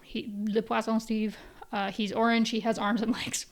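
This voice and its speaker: A young woman from Canada hosting a podcast on her own, worn out by a migraine and talking in a soft, rambling, self-interrupting way with whispery asides. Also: on the high side, flat delivery, normal speed. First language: English. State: tired, with a migraine. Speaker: podcast host. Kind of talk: casual solo podcast chat.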